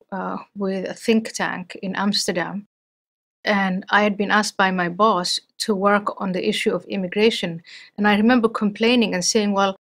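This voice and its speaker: Measured, thoughtful woman interview guest. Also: medium pitch. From Somalia. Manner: thoughtful, measured, with frequent restarts. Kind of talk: interview conversation.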